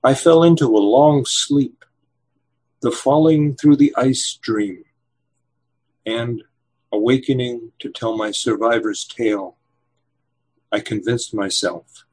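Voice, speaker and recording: A man in his seventies, a poet, reading a poem slowly and then answering interview questions with many pauses and fillers. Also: mid-range voice, a little mellow, slightly rough, low-quality recording. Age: 70s. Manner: slow measured reading, hesitant with fillers when chatting. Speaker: poet and novelist guest.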